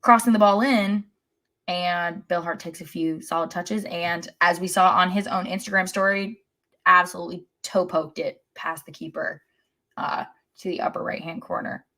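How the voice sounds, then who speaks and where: A woman's voice: fairly low pitch, steady tone, normal speed, pushed and bright. A woman co-hosting a soccer podcast, recapping a match in a wry, informal way. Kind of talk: casual match recap chat.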